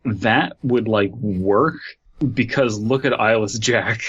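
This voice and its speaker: A man's voice: on the low side, slow pace, a bit bright, husky, lo-fi sound. A man co-hosting a podcast in casual, conversational talk. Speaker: podcast host. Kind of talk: casual chat.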